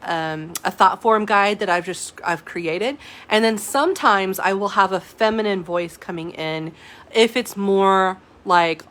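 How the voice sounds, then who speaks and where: A woman's voice: medium pitch, a bit bright. Chatty woman teaching a live class. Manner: casual and chatty, many fillers and asides to viewers. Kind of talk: live-stream teaching, reading chat comments.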